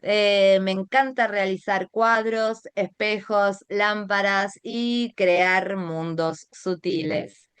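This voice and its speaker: A woman's voice: medium pitch, steady tone, takes it slowly, fairly bright tone, clear, resonant tone, dry recording. A middle-aged Argentine woman presenting an online art class as its teacher, guiding listeners directly and asking them rhetorical questions. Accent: Argentine Spanish.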